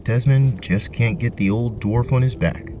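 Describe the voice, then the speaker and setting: A man's voice: mid-range voice, measured pace, background noise. A man narrating a scripted tabletop fantasy story as its storyteller. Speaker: narrator, game master.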